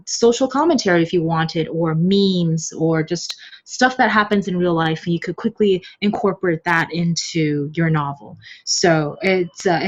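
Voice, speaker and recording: A woman guest explaining her views in a casual discussion, pausing to find her words. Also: lowish voice. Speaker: podcast guest. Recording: slightly dull, lo-fi audio.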